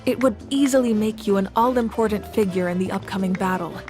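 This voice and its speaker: A woman's voice: mid-range voice, fast talker, over music, close to an everyday voice. A woman playing a composed, resolute warrior goddess who rules a nation, speaking formally and solemnly in a video game.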